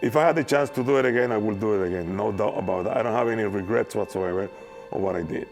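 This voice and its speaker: Man, 50s, Venezuelan accent, low gravelly voice, halting, soft guitar behind. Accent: Venezuelan Spanish. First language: Spanish. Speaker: former oil worker, interviewee. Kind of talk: documentary interview. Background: soft guitar music.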